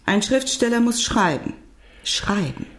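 An actress playing a stern, earnest writer's wife who admonishes in precise speech in a radio drama. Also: female voice, medium pitch, big pitch swings, measured pace, a bit bright. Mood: stern, insistent.

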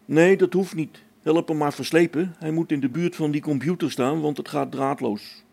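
Male actor as brusque, practical space technician, radio drama; slightly high pitch, mellow timbre, colloquial delivery. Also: normal speed, creaky. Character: practical, matter-of-fact male space-station technician, mildly irritable. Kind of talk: Dutch radio play acting.

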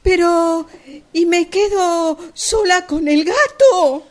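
A woman's voice: very high voice, animated, slow, full character voice. A woman with an Argentine accent playing a timid, nervous young client in an amateur radio play, speaking hesitantly. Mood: frightened.

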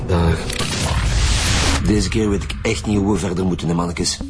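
A man's voice: deep voice, steady tone, normal speed, lightly acted. A man with a Flemish accent playing a stern, cautious lead detective in a radio drama. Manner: gruff, stern, authoritative. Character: gruff, cautious male police chief. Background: music.

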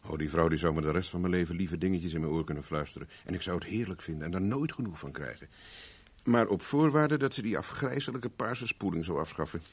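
A very low male voice as a cynical private investigator in a vintage radio drama. He is wry and flirtatious, a hard drinker who sidesteps questions and turns firm when pressed. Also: quick pace, slightly rough. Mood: wry, teasing. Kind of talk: Dutch radio play acting, early 1970s.

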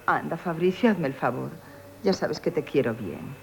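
An actress playing a proud, scheming, flirtatious innkeeper in radio theatre, brisk and teasing. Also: female voice, deep voice, expressive, creaky. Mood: coquettish. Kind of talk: 1970s radio theatre acting. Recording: dull, noisy old radio broadcast.

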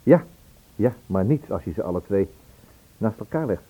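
An actor playing a matter-of-fact investigator in a Dutch radio play, explaining and probing. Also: male voice, fairly low pitch, lively intonation, moderate pace, dark tone, breathy edge. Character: matter-of-fact investigator, companion to the worried man. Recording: tinny old radio recording, faint noise.

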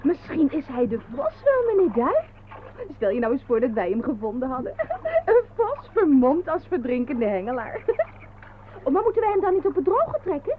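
An actress playing an excitable, coy, admiring young lady in a comic radio play, gushing and easily flustered. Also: high voice, moderate pace. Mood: excited, amused. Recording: tinny, noisy old radio play recording.

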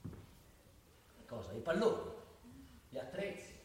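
A man's voice: on the high side, sing-song, slow, distant mic. An actor playing an overworked, weary father in a stage play recorded live, grumbling and sardonic. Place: theatre stage. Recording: echoey stage miked from afar, faint noise.

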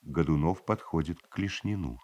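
A man's voice: extremely low pitch, moderate pace, a little mellow. A man giving scripted narration of the stage action in a radio play, as its narrator.

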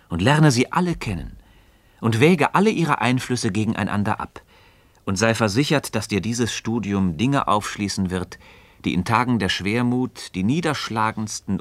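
Deep-voiced, patient learned mentor. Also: male voice, no echo. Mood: earnest, instructive. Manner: measured, didactic, rhetorical. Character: learned male Freemason friend, patient, earnest, gently ironic teacher. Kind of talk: scripted philosophical dialogue in German radio drama.

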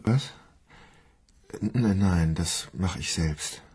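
A man's voice: sits low, lively intonation, darkish tone, husky, full character voice. An actor playing an odd, hesitant man devoted to his mobile phone in a radio play. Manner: hesitant, halting.

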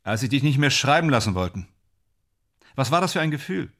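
Radio drama: preoccupied male stage actor, husky, very varied intonation, searching for words. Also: mid-range voice, very animated, a little fast, a bit bright. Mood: probing. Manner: hesitant, searching for words, clipped phrases. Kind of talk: naturalistic German radio drama acting.

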